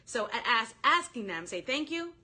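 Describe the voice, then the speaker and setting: Female online sales coach, higher-pitched and very bright, informal and animated, speaking straight to a live audience of commenters. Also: moderate pace, slightly rough. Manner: animated, informal, addresses listeners directly, quotes sample phrases.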